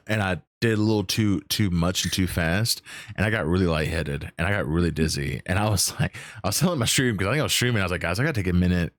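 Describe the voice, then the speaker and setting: A man hosting a casual, unscripted streaming interview, talking in an animated, sweary way and often stammering over his words. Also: sits low, dry recording. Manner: animated, profane, stammers and repeats himself. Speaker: podcast host and role-play streamer.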